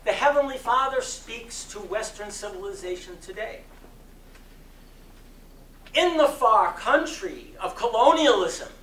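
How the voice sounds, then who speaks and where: A man giving a dramatic reading of a sermon, oratorical and impassioned, with deliberate phrasing and strong emphasis. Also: very high voice, a bit bright. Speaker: reader of a sermon. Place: community center hall. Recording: distant mic in echoey room, faint noise.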